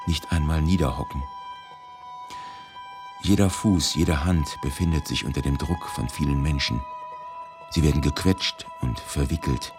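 A man reading scripted documentary narration for radio in a grave, measured, deliberate manner. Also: deep voice, gravelly. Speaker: reader of a historical eyewitness testimony. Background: music.

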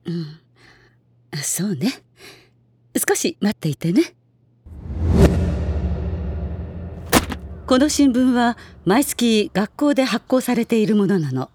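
A woman playing a gentle but guarded schoolteacher who recalls former pupils in an audio drama. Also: medium pitch, very animated, unhurried, a little hiss or hum. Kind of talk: Japanese audio drama acting.

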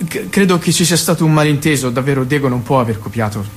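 A man's voice: mid-range voice, lively intonation, fast, faint music behind, close to an everyday voice. An actor playing a pupil's father, insistent yet awkward, in naturalistic audio drama dialogue. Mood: awkward, conciliatory.

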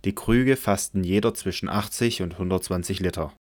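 A man narrating a scripture audio drama in a measured, solemn manner from a script. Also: low-pitched, darkish tone, no echo.

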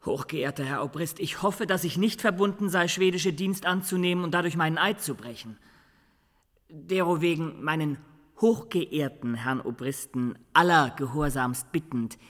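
An actor playing a proud, loyal young soldier in a period radio drama, speaking in formal, courtly, earnest phrases. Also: male voice, fairly high pitch, normal speed, a bit bright, lightly acted. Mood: humble, formal. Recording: long reverberant room.

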